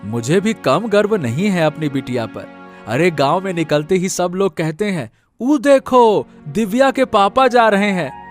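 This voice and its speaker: A man acts out a rustic middle-aged farmer father in a cartoon. His voice is high for a man and swoops in pitch as he speaks emphatically and protectively, with faint music behind. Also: animated, a little slow. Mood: proud.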